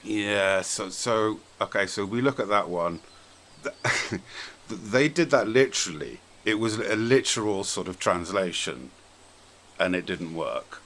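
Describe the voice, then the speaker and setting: A man's voice: fairly low pitch, lively intonation, a little slow, fairly bright tone. A British man hosting a podcast, chatting casually about films in an informal, blunt way. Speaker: podcast host. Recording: noticeable background noise.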